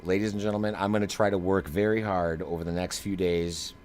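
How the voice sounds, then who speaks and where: A man's voice: low-pitched, steady tone, a little fast, a little mellow. A man giving live flight simulator demo commentary in a casual, slangy, emphatic way, like a streamer. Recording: clear background noise.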